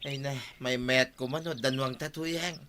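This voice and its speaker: A man's voice: medium pitch, fairly even intonation, measured pace, sharp tone. A man playing a weary, self-pitying farmhand in an audio drama, with an Ilocano accent. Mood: whining, weary. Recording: noisy track with chirping birdsong.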